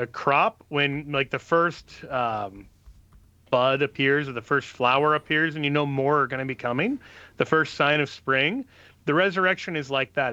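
A man's voice: on the high side, bright, forceful tone, dry recording, lo-fi sound. A man who is a guest on a call-in radio show, patiently explaining questions of faith to callers.

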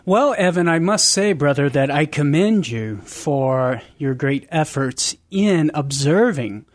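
A man's voice: a little high, lively intonation, slow. A man with stuffy sinuses, a guest author on a radio show, talking in a friendly way and calling people brother. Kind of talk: radio discussion.

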